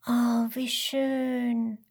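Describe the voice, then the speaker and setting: A woman voicing a stubborn, eager little koala boy in a children's story, speaking in an emphatic, excitable, childlike way. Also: fairly high pitch, very slow, exaggerated voice. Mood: amazed, dreamy.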